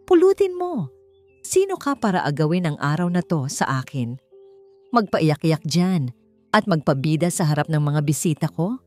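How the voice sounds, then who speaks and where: A woman's voice: low-pitched, very animated, normal speed, a little mellow, faint music behind. A woman voicing a smug, spoiled rich bride who talks in a haughty, sneering, boastful way in a narrated story. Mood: scornful, threatening.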